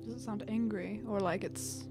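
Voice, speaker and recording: A woman's voice: mid-range voice, steady tone, unhurried, darkish tone, soft background music. A woman chatting informally and playfully as a player in a tabletop roleplaying game. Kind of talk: casual chat during tabletop game play.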